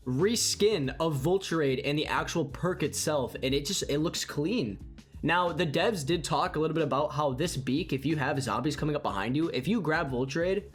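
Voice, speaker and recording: A young man with a congested, sniffly voice, giving enthusiastic, rambling commentary on a game trailer. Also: a little high. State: congested with a cold. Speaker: gaming YouTuber. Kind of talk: excited gameplay commentary. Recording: faint music behind.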